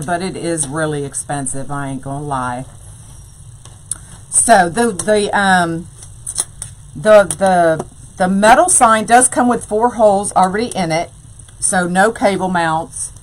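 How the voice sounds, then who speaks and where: Slow, low-voiced craft host. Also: female voice, poor audio. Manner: chatty, says "y'all", answers live chat. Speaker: wreath-making tutorial host. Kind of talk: live craft demonstration. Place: craft room full of ribbon shelves.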